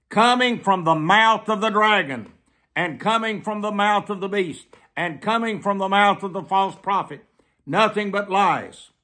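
An American man in his seventies preaching to camera in an emphatic, deliberate way, repeating phrases for weight. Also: sits high, sharp tone. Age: 70s. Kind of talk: sermon delivered to camera. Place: small plain room indoors.

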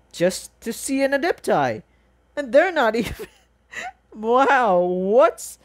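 Rambling, excitable reaction video host. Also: medium pitch. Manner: rambling, exclaiming, informal. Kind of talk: casual excited reaction commentary.

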